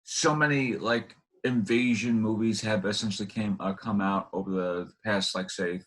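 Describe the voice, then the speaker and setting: Halting, lowish-voiced male interviewer. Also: fairly even intonation, a bit bright. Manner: halting, meandering phrasing. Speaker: interview host. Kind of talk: casual interview questioning.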